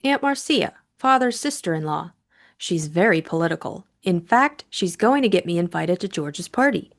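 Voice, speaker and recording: A woman's voice: on the low side, expressive, moderate pace. A woman voicing a plucky young upper-class amateur sleuth in an audiobook mystery, cool and matter-of-fact.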